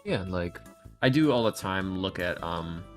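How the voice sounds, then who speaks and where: Low-voiced man in casual podcast chat. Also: lively intonation, unhurried, faint music behind. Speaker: ASMR roleplay video creator.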